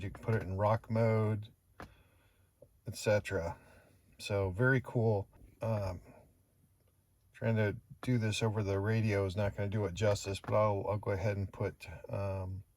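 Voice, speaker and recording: A man's voice: on the low side, a little hiss or hum. A man casually demonstrating a car stereo from inside the car, with lots of ums and uhs. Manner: relaxed, hesitant with many fillers. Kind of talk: casual product demo. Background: music from the car stereo.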